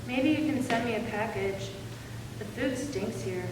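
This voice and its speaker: A woman's voice: on the high side, unhurried, lightly acted. A woman playing a trusting, loyal young sweetheart of a soldier in a readers theater drama. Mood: subdued. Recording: echoey hall from far off, clear noise.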